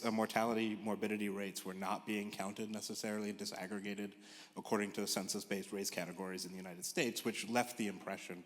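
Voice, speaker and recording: A man speaking as a panelist in a discussion, halting and measured. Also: on the low side. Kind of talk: spoken remarks in a panel discussion. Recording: very reverberant hall sound.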